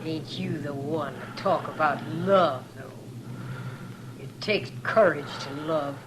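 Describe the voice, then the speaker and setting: Casting: tough, embittered cattle-rustling frontier woman, curt delivery, 1950s radio drama with clear background noise. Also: deep voice, slow. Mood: bitter, defiant. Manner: curt, defiant, cold. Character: hard, bitter frontier mother and cattle rustler. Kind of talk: 1950s radio western acting.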